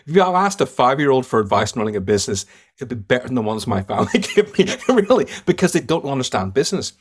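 A man's voice: mid-range voice, sing-song, fast talker, breathy edge. Emphatic Irish man telling stories. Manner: emphatic, storytelling, blunt verdicts. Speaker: guest sales trainer. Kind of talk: podcast interview.

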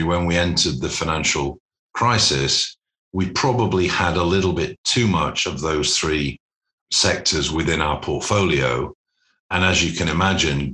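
A man being interviewed as a business executive, explaining things in a measured, articulate corporate manner. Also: a bass voice, pushed and bright. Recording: roomy, echoing sound.

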